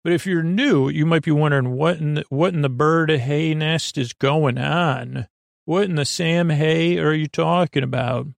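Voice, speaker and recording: A man hosting a bedtime podcast in a creaky voice, with a lulling, halting delivery that meanders off on tangents. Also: fairly high pitch, no echo. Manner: lulling, soothing, halting and digressive. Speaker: podcast host. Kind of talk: rambling, meandering bedtime monologue.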